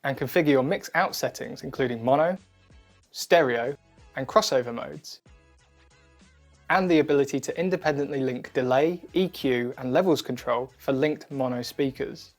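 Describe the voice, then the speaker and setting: A man presenting a scripted product demo in a clear, methodical, instructional manner. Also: mid-range voice, normal speed. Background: background music.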